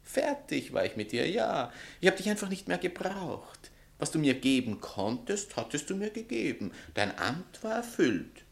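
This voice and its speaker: Male, pompous wounded poet for vintage radio drama; theatrical declaimer with very lively, sweeping pitch. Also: normal speed, vocal fry, few pauses. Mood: haughty, declaiming. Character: vain, pompous, wounded male poet berating his former lover. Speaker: actor. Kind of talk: 1960s German-language radio drama acting.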